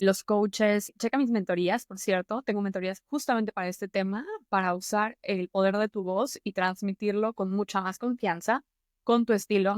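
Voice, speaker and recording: A woman's voice: medium pitch, clear, resonant tone, no room sound, clean studio sound. Earnest Mexican woman giving advice. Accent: Mexican Spanish. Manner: earnest, encouraging, conversational. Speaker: YouTube host and public-speaking mentor. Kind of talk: motivational advice to camera.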